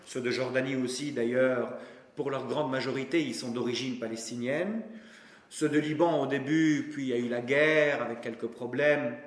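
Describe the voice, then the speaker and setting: A man's voice: mid-range voice, steady tone, moderate pace. A man, an Arabic speaker, giving a public lecture as a professor in an emphatic, didactic manner. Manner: didactic, emphatic, rhetorical questions and short punchy asides. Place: indoor lecture room. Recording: roomy, echoing lecture room.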